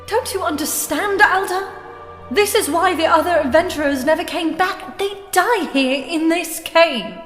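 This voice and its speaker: A woman's voice: extremely high pitch, a little slow, fairly bright tone. A woman playing a wary, earnest young adventurer in a fantasy audio drama. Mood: pleading. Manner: earnest, urgent. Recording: echoey room with music behind.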